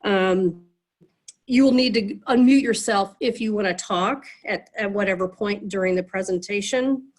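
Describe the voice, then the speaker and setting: A woman's voice: medium pitch, normal speed. A woman moderating an online meeting over a video call, sounding nasal from a cold, chatty with many fillers and restarts.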